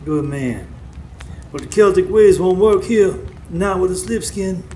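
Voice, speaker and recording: A man playing a stern old conjure man, high-pitched. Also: lively intonation, unhurried, mellow. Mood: grave, instructive. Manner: commanding, terse, instructive. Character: wise, stern old folk conjure man with silver dreadlocks. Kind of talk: live radio drama acting. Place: stage before a live studio audience. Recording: live stage recording with background noise.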